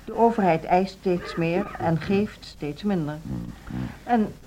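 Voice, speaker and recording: Composed middle-aged school directress, noisy recording. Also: female voice, on the low side. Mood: composed, businesslike. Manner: formal, measured, careful phrasing. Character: 55-year-old music school directress, composed and businesslike. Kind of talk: naturalistic radio drama dialogue. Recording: radio play with clear background noise.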